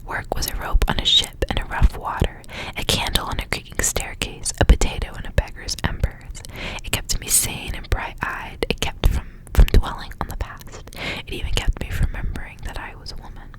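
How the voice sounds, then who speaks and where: Female ASMR reader, fully whispered delivery, soft and unvoiced, for intimate story reading. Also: normal speed, poor audio. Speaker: ASMR reader and channel host.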